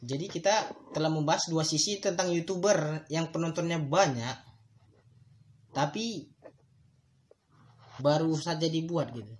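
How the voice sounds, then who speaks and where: A man's voice: fairly high pitch, a little slow, long pauses, a little hiss or hum. A man hosting a solo podcast. He explains his points in a thoughtful, instructive way, speaks directly to his listeners, and sometimes stretches words for emphasis. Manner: addresses listeners directly, with a moralizing tone. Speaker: podcast host.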